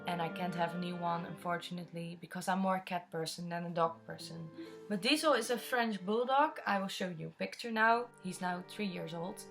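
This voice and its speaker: A woman's voice: lowish voice, brisk, fairly bright tone. Chatty young Dutch woman, music behind. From Netherlands. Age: 20s. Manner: chatty, open, rambling storyteller. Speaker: vlogger answering viewer questions. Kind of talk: casual chat.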